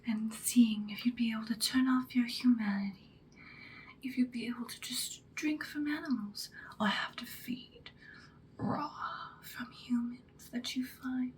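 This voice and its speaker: A woman playing a seductive vampire queen in an ASMR roleplay, whispering breathily in a soothing, predatory, deliberate way. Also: on the high side, steady tone, a little hiss or hum, close to an everyday voice, poor audio. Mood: intimate, coaxing. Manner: slow, deliberate, hypnotic soothing.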